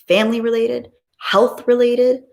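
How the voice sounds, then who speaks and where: A woman's voice: on the high side, level tone, unhurried, gravelly. A woman hosting a livestream, chatting casually and reading out viewer comments in a gushing, appreciative, playful way. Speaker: livestream host.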